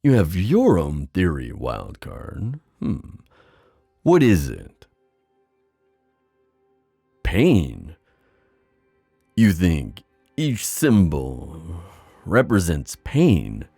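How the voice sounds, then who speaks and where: A man playing a wistful, theatrical sea god in an ASMR fantasy roleplay, musing with hesitant pauses and drawn-out phrasing. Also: low-pitched, wildly swooping pitch, creaky, dark tone, husky, no echo, studio quality, faint music behind, full character voice. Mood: thoughtful, skeptical.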